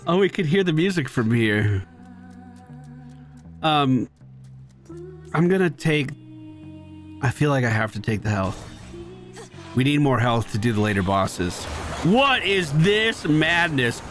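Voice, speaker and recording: A man's voice: medium pitch, lively intonation, slow, long pauses, a bit bright, faint music behind. A man giving casual gameplay commentary as host of a gaming video. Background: video game sounds.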